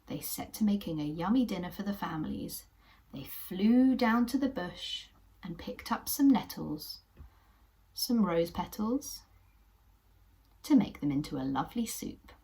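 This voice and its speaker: Gentle English woman reading to children. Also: fairly low pitch, lively intonation, unhurried. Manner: gentle, encouraging, sometimes whispery narration. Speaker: children's puppet show host. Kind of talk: storytelling for children.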